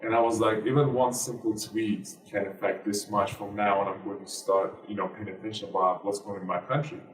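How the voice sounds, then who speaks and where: A young man speaks with a Turkish accent in a low voice, earnest and halting, with plenty of fillers. He sounds a little far from the mic in a roomy space. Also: little pitch movement. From Turkey. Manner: earnest, halting, many fillers and broken grammar. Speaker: guest, basketball player and activist. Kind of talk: interview answers.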